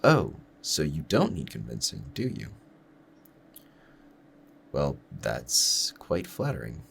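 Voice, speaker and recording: A man playing a lovestruck assassin in a roleplay audio drama, speaking to the listener in formal, measured, courteous phrasing with quiet menace. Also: very deep voice, huge pitch swings, gravelly, a little hiss or hum, exaggerated voice. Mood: flattered, giddy.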